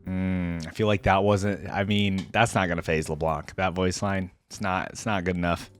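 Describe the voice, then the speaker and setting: A man's voice: low voice, little pitch movement, moderate pace, faint music behind. A man hosting a reaction video, giving informal, slangy, conversational commentary.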